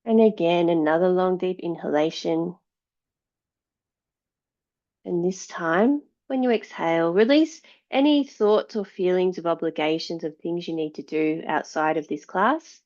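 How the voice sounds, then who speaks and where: A woman yoga teacher guiding meditation and breathing in a measured, soothing way, leaving long pauses between phrases. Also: lowish voice, darkish tone. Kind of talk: guided yoga and meditation instruction. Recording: dull, slightly lo-fi sound.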